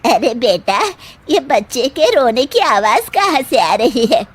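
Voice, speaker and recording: A woman's voice: fairly high pitch, sing-song, a little slow, husky, exaggerated voice. A woman voicing an older, exasperated mother-in-law who fusses and scolds in a cartoon story. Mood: puzzled. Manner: scolding, fussing. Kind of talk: Hindi cartoon story acting.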